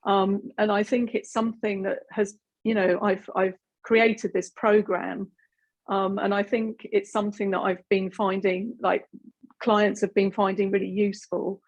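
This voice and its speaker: Husky British woman presenting a webinar. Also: medium pitch, flat delivery, a little slow. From Britain. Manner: chatty, many fillers and restarts. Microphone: video call.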